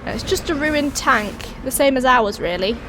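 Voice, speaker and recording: A woman with a cold and a raspy, hoarse voice, chatting casually as she plays a video game and thinks aloud. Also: sits high, moderate pace. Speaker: let's play host. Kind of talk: casual gameplay commentary. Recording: voice over steady game engine noise.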